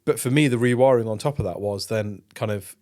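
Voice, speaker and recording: A man's voice: medium pitch, a little fast, a little mellow. A man hosting a casual podcast conversation, rambling and informal, umming and ahing and swearing freely. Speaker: podcast host.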